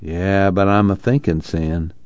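A man's voice: sits low, fairly even intonation, unhurried, a little mellow, clear, resonant tone, dry recording, muffled recording. A man voicing a gloomy, guilt-ridden uncle who speaks mournfully with a rural American accent. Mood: guilty.

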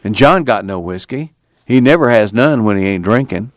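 Man playing a hard-pressed farm dad, urgent folksy country talk, lively pitch, dry sound. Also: moderate pace, dry recording, close to an everyday voice. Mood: worried. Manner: urgent, pleading, folksy rural speech.

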